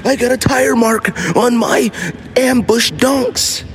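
Man putting on a high-pitched panicking sneakerhead. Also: steady tone, a little hiss or hum. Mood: mock panic. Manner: exaggerated mock panic, drawn-out words. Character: frantic, whiny sneakerhead fussing over scuffed shoes.

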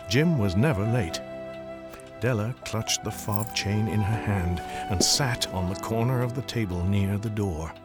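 A man narrating a scripted story in a dramatic, wry, theatrical manner. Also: lowish voice.

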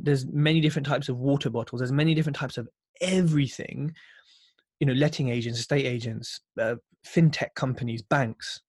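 A man's voice: mid-range voice, a little mellow, slightly rough, no room sound. A British man talking casually as a podcast guest, in a chatty, emphatic way. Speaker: podcast host interviewed as guest. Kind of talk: casual conversational interview.

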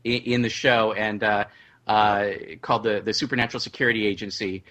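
Bright-voiced, low-pitched man talking shop. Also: steady tone, a little fast. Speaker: audio drama writer and producer, panel guest. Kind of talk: casual panel discussion.

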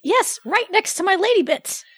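Woman acting flustered, high-pitched Valkyrie warrior. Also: very animated, moderate pace, a bit bright, no echo, exaggerated voice. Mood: sheepish, babbling. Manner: exclamatory, theatrical. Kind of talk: dramatic fan fiction reading.

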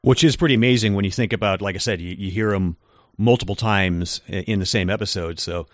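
A man co-hosting a podcast, explaining things in a measured way with occasional hesitant fillers. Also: on the low side, dry recording, studio quality. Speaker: podcast co-host. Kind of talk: casual chat.

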